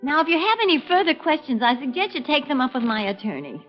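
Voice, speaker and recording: An indignant widow talks in a high, haughty voice that swings widely in pitch. Faint music plays behind her on a dull old radio recording. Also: big pitch swings, quick pace. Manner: haughty, exasperated. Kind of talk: 1950s radio drama acting.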